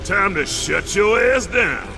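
An actor voicing a gruff, cocky action-hero brawler in a video game, blunt and swaggering. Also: male voice, high-pitched, very animated, slow, full character voice. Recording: music and game noise behind.

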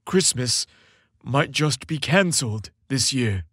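A man voicing an old Santa Claus in a story, booming and emphatic, with a fatherly weight. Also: expressive, takes it slowly, a bit bright, slightly rough, no room sound, clean studio sound, exaggerated voice. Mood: grave, worried. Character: jovial booming old Santa Claus, grave when worried.

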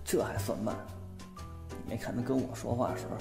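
An actor playing a flustered, excitable, blustering young man in a Chinese audio drama, swinging from muttering to shouting. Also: mid-range voice, a little fast, creaky, over music, close to an everyday voice.

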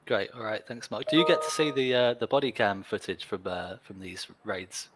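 A man moderating an online panel, brisk with light joking asides. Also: fairly low pitch, lively intonation, background noise. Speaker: host and moderator.

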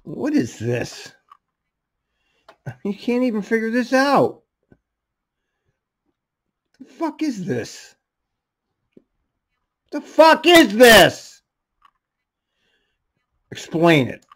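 A man's voice: very high-pitched, huge pitch swings, drawn-out words, pauses often, a bit bright. A scornful man talks over a meeting he is watching. He mocks what he hears and says he can't make sense of it. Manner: scornful, mocking, swears, laughs derisively. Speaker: commentator mocking a recorded meeting. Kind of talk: sarcastic running commentary.

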